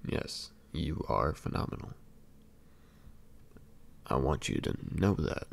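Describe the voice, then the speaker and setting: A man playing an affectionate, clingy emo boyfriend in an intimate ASMR roleplay, murmuring tenderly and haltingly with a breathy, gravelly, very deep voice. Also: lively intonation, full character voice. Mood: adoring, tender. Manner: tender, unhurried, halting and doting.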